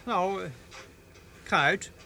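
An actor playing a young farmer's son in a radio drama, hesitant but quietly answering back. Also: male voice, sits high, slow pace, slight background noise, full character voice. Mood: defensive. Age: young adult.

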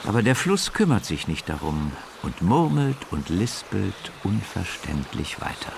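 Very animated low-voiced male storyteller. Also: measured pace, gravelly, noisy background. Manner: expressive storytelling. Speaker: narrator. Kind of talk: scripted children's story narration. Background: music.

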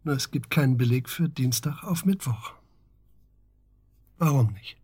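Stern, husky male investigator. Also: mid-range voice, lively intonation, a little slow, a little mellow, dry recording, studio quality. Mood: probing, sceptical. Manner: matter-of-fact, insistent, clipped questioning. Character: stern, methodical male investigator coaching a suspected friend. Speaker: actor in a radio drama. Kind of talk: naturalistic German radio drama acting.

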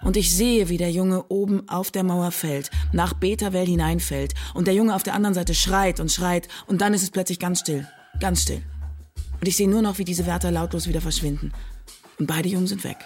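A woman's voice: lowish voice, steady tone, a little fast, darkish tone, breathy edge, faint music behind. A woman playing a brusque, sarcastic resident of a walled commune, colloquial and challenging, in a naturalistic radio drama. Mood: grave, intense.

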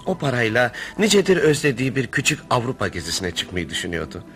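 Genial storytelling family friend, music behind. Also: male voice, medium pitch, very animated, quick pace, fairly bright tone. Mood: confiding, reflective. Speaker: narrator and character in a radio play. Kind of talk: radio theatre acting, storytelling narration. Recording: radio play with music behind.

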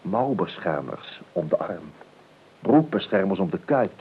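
An actor playing an idle, whimsical gentleman heir in a radio play, talkative and hesitant, repeating his words. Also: sits low, big pitch swings, unhurried. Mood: plaintive. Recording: muffled phone-like old radio recording, hiss.

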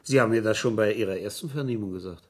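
An actor playing an unhurried, probing police inspector in a 1970s German radio crime drama, insistent and colloquial. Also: male voice, lowish voice, husky. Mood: businesslike, pressing. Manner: insistent, colloquial, ends questions with tags.

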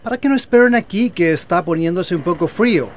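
A man's voice: high-pitched, lively intonation, fairly quick. A man acting a friendly, hospitable amateur inventor in a scripted educational drama. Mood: friendly, inviting. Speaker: actor in an educational family drama. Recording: clear vehicle and ambient noise.

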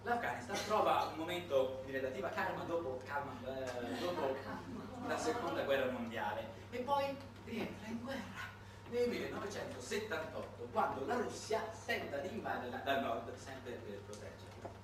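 A man delivering a satirical theatrical monologue in an emphatic, ironic, halting manner. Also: a little high, big pitch swings. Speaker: stage monologuist. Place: roomy indoor performance space, far from mic. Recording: distant, echoey, noisy live theatre recording.

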